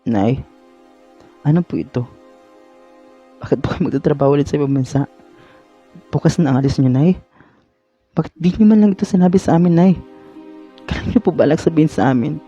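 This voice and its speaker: Worried young Filipino son, male performer; soft mellow tone, earnest pleading, school radio drama with music behind. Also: on the high side, brisk, pauses often, husky, muffled recording. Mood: hurt, pleading. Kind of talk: amateur Tagalog radio drama acting. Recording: background soundtrack under the voice.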